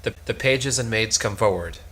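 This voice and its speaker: A man's voice: lowish voice, normal speed, pushed and bright, breathy edge. A man doing an unrehearsed cold read of a play script, announcing stage directions.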